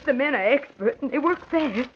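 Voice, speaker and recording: An actress playing an anxious, fiery gangster's wife in dramatic old radio melodrama style. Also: female voice, high-pitched, expressive, normal speed. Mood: cowed, pleading. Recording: muffled, noisy old radio recording.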